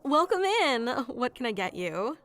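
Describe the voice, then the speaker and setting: A woman playing a sweet, flustered florist secretly in love with a best friend, in an intimate audio roleplay with a hesitant, soft-hearted manner. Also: sits high, very animated, unhurried, noisy background. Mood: polite, helpful. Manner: hesitant, soft-hearted, breaking into nervous chuckles and sighs. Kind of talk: intimate ASMR roleplay acting.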